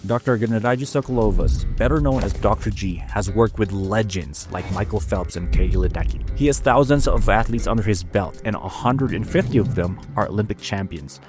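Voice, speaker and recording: A male podcast host with a fairly low voice reads a polished introduction and then asks his guest questions. His delivery is smooth and steady, and faint music plays softly behind him. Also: brisk, hardly any pauses. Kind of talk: scripted intro narration and interview questions.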